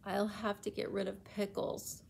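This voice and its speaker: A sweet, worried young storybook girl, read by a woman, confides plainly in those around her. The female voice is medium in pitch, with faint noise behind. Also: completely flat delivery, unhurried, vocal fry, a little mellow.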